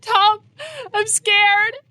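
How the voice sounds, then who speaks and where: A woman's voice: very high voice, monotone, drawn-out words, bright, forceful tone, exaggerated voice. A woman playing an older wife and grieving mother, frank and consoling, in an audio drama. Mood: frightened, urgent.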